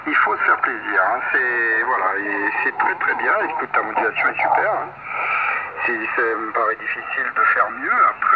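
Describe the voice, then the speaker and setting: A middle-aged man chatting casually as a ham radio operator over shortwave, repeating himself in encouraging chatter. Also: mid-range voice. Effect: shortwave radio transmission. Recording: distant, dull, very noisy shortwave radio.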